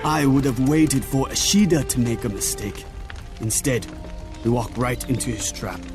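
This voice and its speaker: Game role: young samurai general male actor. Loyal, proud, solemn, medium pitch, commanding formal delivery over a clear musical score. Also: moderate pace, over music, close to an everyday voice. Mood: grave, urgent. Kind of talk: dramatic game cutscene acting.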